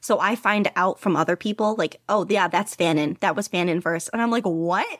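Chatty, excitable woman, fairly low voice. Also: fairly quick, dry recording, studio quality. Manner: enthusiastic, rambling, lots of fillers and laughs. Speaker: podcast host. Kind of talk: casual fan chat.

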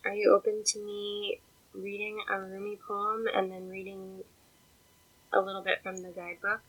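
A woman hosting a podcast in casual chat, chatty and giggly, her voice a little stuffed up and croaky. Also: medium pitch, flat delivery, normal speed, fairly bright tone, low-quality recording. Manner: chatty and giggly, often laughing. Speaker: podcast host.

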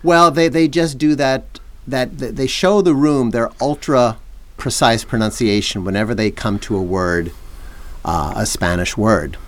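A middle-aged man co-hosting a podcast, talking in a wry, teasing, measured way. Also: mid-range voice. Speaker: podcast co-host. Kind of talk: casual chat.

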